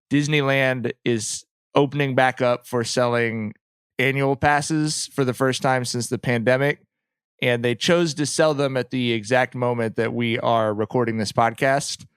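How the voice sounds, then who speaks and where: A man's voice: medium pitch, a bit bright, clear, resonant tone, no echo, clean studio sound. A man hosting a podcast in casual, comedic chat, with wry and profane humour. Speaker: podcast host.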